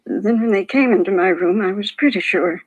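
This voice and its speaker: A woman's voice: medium pitch, fairly quick, breathy edge, lo-fi sound, close to an everyday voice. A frail old widow in a vintage radio crime drama, played by a woman. She is hesitant and plaintive, apologizing as she goes, a shaken victim who is unsure of herself. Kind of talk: 1950s radio drama acting. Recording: vintage 1950s radio broadcast.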